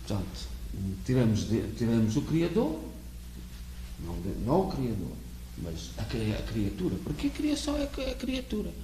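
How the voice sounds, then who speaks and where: A man from Portugal with a European Portuguese accent, preaching an earnest sermon in a halting way, often repeating words as he searches for the next one. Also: mid-range voice, huge pitch swings, mellow. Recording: echoey room with faint hum.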